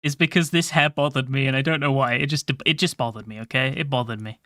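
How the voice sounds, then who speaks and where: Soft-voiced guy chatting away on stream, kinda rambly, swears a bit, bright tone, dead dry mic. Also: medium pitch, fairly even intonation, fast, no room sound. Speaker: game streamer. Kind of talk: casual livestream chat.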